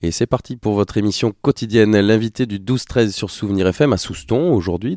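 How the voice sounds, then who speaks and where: A male radio host with a low, mellow voice introduces guests in a studio. His delivery halts often, full of fillers and restarts, and the sound is close and dry. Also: no room sound. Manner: hesitant, many fillers and repeated words. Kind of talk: local radio talk show hosting.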